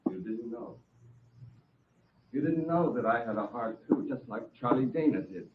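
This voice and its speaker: An actor playing a jilted, obsessive nightclub comic, intense and bitter in a radio drama. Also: male voice, mid-range voice, lively intonation, normal speed, lightly acted. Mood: bitter, menacing. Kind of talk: 1950s radio drama acting. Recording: dull vintage radio recording, faint hiss.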